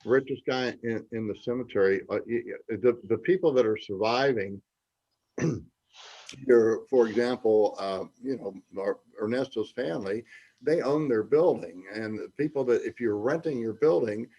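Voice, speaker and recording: A man in his eighties, host of a newsletter, chatting casually in a rambling way with restarts and emphatic outbursts, getting over an illness and clearing his throat. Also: mid-range voice, moderate pace, warm tone, muffled recording. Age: 80s. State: recovering from covid, clears throat. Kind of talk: casual chat. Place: Mexico's Baja coast.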